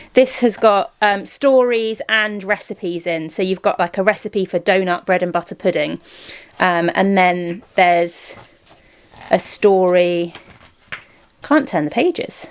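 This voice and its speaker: Chatty British woman vlogging casually. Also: mid-range voice. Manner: chatty, informal, thinking aloud while showing things. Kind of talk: casual vlog chat to viewers.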